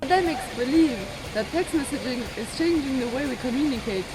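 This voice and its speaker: A woman reading a formal scripted narration in a measured way. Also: high-pitched, animated, over music, rough recording. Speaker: student narrator.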